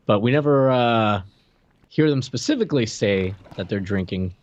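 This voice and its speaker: A man's voice: medium pitch, lively intonation, moderate pace, dry recording, muffled recording. A man in his thirties co-hosting a podcast, giving blunt but hedged opinions in casual chat. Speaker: podcast co-host. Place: indoor room, video call.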